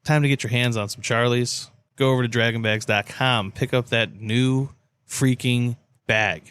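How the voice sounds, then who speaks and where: A man's voice: mid-range voice, steady tone, normal speed, fairly bright tone, clear, resonant tone. A man in casual conversation as a podcast co-host. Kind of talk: casual chat.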